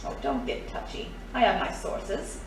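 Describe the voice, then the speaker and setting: An actress playing a sly, teasing, knowing femme fatale in a noir radio drama, coy and needling. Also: female voice, measured pace, natural voice. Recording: very echoey, distant, faint noise.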